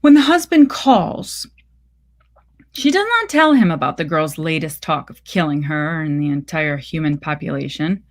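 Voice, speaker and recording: A woman reading literary fiction aloud as scripted narration, with measured, deliberate phrasing. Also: deep voice, lively intonation. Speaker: reader of a short story.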